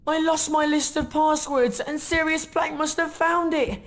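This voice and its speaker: Nervous forgetful schoolboy in a game, high voice, plaintive and apologetic. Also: level tone, unhurried, fairly bright tone. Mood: pleading. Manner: worried, plaintive. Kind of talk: video game voice acting.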